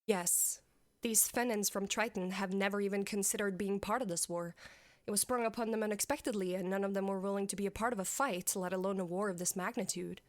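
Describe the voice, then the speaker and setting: A woman's voice: mid-range voice, fairly even intonation, a little fast, dry recording, clean studio sound, lightly acted. A woman playing a dutiful military commander in a fantasy audio story, speaking formally and directly to her king. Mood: frank, respectful. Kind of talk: audio fiction acting.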